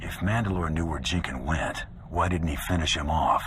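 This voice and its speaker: An actor playing a gruff, cocky male bounty hunter champion in a video game, terse and dry. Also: moderate pace, a bit bright, a little hiss or hum. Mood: cool, cocky. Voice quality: very deep voice.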